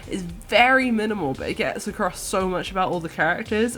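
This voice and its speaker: A woman's voice: on the low side, fairly bright tone. A woman giving an enthusiastic, earnest personal video game review, partly scripted and partly conversational. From countryside. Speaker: podcast guest reviewer. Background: music.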